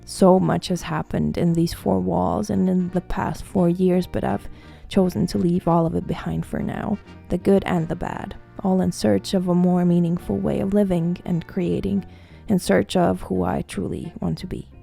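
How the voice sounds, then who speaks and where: Gravelly-voiced Latvian woman musing softly. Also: on the low side, little pitch movement, moderate pace, soft background music. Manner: reflective, soft-spoken, confessional. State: recovering from illness. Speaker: independent musician and producer. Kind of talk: personal vlog monologue. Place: apartment room mid-packing.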